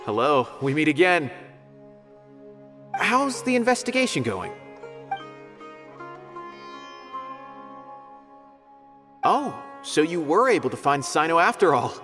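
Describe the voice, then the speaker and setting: A man's voice: fairly high pitch, very animated, moderate pace. An actor voicing a polite, helpful male academic in a video game, explaining things earnestly with some concern. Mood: friendly, concerned. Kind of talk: scripted video game dialogue. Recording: music behind the voice.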